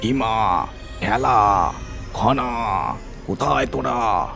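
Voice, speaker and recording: A man playing a terrified village bandit leader in an animated horror story, calling out desperately in drawn-out shouts. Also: lowish voice, gravelly, voice pushed down, full character voice. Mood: alarmed. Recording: music behind the voice.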